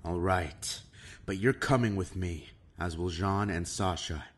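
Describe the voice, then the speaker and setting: A man's voice: sits low, unhurried. An actor playing a stern, curt male lieutenant who gives blunt orders and swears in a fan audio drama. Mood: commanding.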